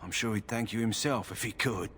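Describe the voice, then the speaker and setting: A man's voice: lowish voice, a little fast, fairly bright tone, a little hiss or hum, natural voice. A man voicing a kind, practical kennel master who speaks plainly and with dry humor. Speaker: game character.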